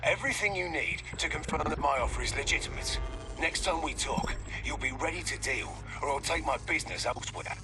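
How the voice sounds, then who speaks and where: An actor playing a ruthless, scheming mercenary in a video game cutscene, with a clipped, commanding, menacing delivery. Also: male voice, fairly high pitch, brisk, noisy background, natural voice. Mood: cold. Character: ruthless male scheming mercenary trader, British.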